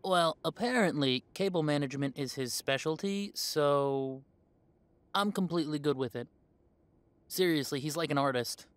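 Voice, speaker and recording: A man's voice: on the high side, moderate pace, no echo, lightly acted. A man playing a young werewolf in a conversational audio roleplay, talking in a casual, earnest way. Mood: playful. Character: easygoing young male werewolf, defensive with parents.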